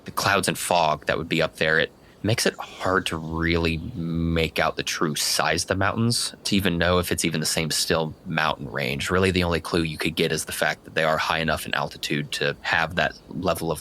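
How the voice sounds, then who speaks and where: A man's voice: a bass voice, steady tone, moderate pace, few pauses, bright, forceful tone, slight background noise. A man narrating a tabletop roleplaying game as its game master.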